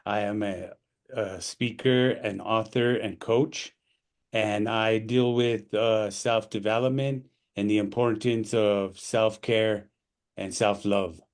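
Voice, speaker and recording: A man introduces himself as a coach on a video call. His voice is low and flat, and he speaks slowly, pausing on "uh" between phrases. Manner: measured, with uh pauses. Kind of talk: self-introduction.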